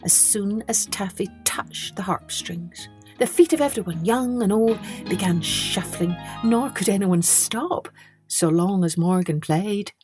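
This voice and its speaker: A woman's voice: mid-range voice, measured pace, a little mellow, soft background music. A woman reading a children's story as a podcast storyteller, expressively, with dramatic emphasis on key words.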